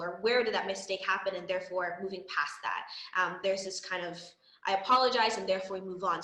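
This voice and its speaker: A young woman from the Bahamas talks through her thoughts on a discussion panel, slowing down with frequent ums. Her voice is bright and forceful. The room around her echoes. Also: mid-range voice.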